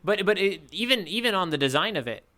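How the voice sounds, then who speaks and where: A man's voice: sits high, animated, quick pace, bright, forceful tone. A man co-hosting a podcast, chatting casually about manga in wordy, playful descriptions. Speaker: podcast co-host. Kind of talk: casual manga discussion chat.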